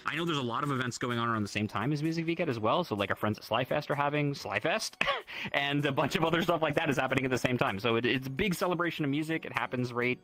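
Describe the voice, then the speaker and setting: A man's voice: medium pitch, fast, a bit bright, no room sound. A man hosting a casual livestream, rambling and upbeat with lots of fillers and restarts. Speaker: livestream host.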